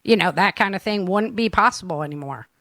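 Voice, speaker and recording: A woman's voice: mid-range voice, animated, fairly quick, fairly bright tone, dry recording, studio quality. A woman hosting a casual live chat show, talking informally with plenty of everyday slang. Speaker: show host and producer.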